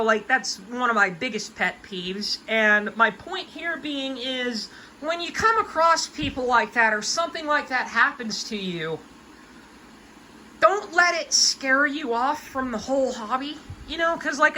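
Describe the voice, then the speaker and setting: A young woman around twenty with a bright, forceful voice that sits a little high. She talks off the cuff to viewers in an emphatic, folksy, colloquial rant, with faint noise behind her. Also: rough recording. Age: about 20. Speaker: hobby collector giving advice. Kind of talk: casual unscripted rant to viewers.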